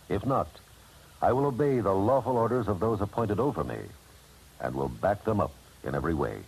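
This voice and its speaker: A man's voice: low-pitched, darkish tone, slightly rough, low-quality recording. An American man giving solemn, formal, emphatic scripted narration as a 1950s radio announcer.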